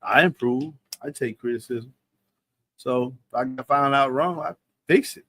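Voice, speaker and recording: A man's voice: medium pitch, a little slow, a bit bright, dry recording. A man in his fifties hosting a live Q&A in a loose, slangy, confident way. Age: 50s. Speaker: live stream host answering questions. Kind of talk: casual live Q&A chat.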